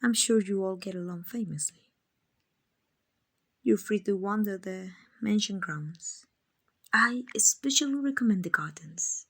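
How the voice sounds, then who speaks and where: A woman playing a kind, doting vampire owner in an ASMR roleplay, soft and often whispering, gently coaxing and reassuring. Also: medium pitch, measured pace. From Mexico. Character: kind, doting, genteel female vampire owner, soothing and possessive.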